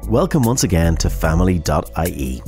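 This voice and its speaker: A man presenting a radio show in a measured, announcing way. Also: sits low, sing-song, over loud music.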